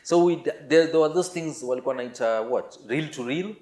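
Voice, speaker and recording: A Kenyan-accented man telling a story casually and haltingly, repeating himself and slipping in Swahili words. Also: a little high, mellow. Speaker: musician, interview guest. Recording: roomy, echoing sound.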